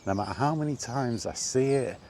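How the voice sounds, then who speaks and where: A middle-aged man in a casual, rambling vlog chat, speaking conversationally with halting pauses while out walking. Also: mid-range voice, expressive, darkish tone. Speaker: gaming vlogger. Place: outdoors, walking. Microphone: microphone with wind guard. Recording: windy outdoor recording, background noise.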